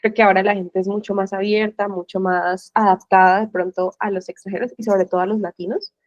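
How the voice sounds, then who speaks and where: A woman's voice: medium pitch, flat delivery, brisk, no room sound. Woman talking emphatic, lots of "o sea", muffled dry mic. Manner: emphatic, searching for words, many fillers. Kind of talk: casual chat. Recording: dull, slightly muffled mic.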